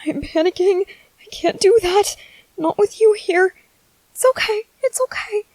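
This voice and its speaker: A woman voicing an anxious young father who softly soothes his baby at night in a whispered audio roleplay. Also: mid-range voice, no echo. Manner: soft and hushed, turning frantic and pleading.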